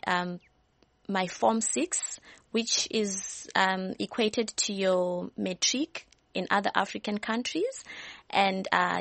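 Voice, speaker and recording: Young woman from zimbabwe, gravelly voice, dry studio sound, hesitant. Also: medium pitch, fairly bright tone, no room sound. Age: young adult. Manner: hesitant, many ums. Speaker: studio guest.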